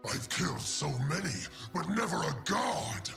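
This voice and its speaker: An actor playing a crazed, vengeful crocodile god warrior in a video game, snarling in a gravelly growl. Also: male voice, on the high side, sing-song, unhurried, exaggerated voice. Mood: menacing. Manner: menacing, snarling declarations. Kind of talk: video game character voice lines. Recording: game audio with loud music.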